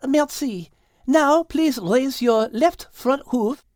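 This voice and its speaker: A woman's voice: sits high, slow, darkish tone, no echo, clean studio sound. A woman voicing a petite French cat, polite, patient and clever, in a children's audio drama with a French accent. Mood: polite, studious.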